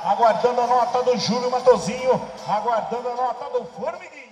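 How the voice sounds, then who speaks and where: A man's voice: very high-pitched, brisk, faint music behind. A man from the of São commenting on rodeo replays, explaining each rider's technique. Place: rodeo arena. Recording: echoey arena PA with long reverb.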